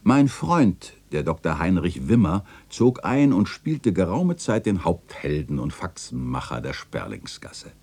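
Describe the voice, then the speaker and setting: Literary radio drama narrator: melancholy old man recalling memories, very low, mellow, lively intonation. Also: a little slow, few pauses, a little hiss or hum, full character voice. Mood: wistful. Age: older. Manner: wistful, expressive storytelling.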